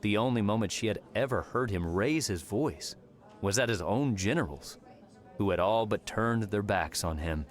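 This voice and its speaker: A man narrating a novel as an audiobook, in a measured storytelling manner. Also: on the low side. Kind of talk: scripted fiction narration.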